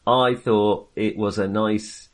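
Low-voiced man, wry and hesitant. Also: fairly even intonation, slow. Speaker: film podcast co-host. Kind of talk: casual conversational film discussion.

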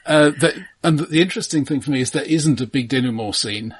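A man co-hosting a casual film discussion, analytical, with a halting delivery that repeats the starts of words. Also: mid-range voice, husky. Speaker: film podcast co-host.

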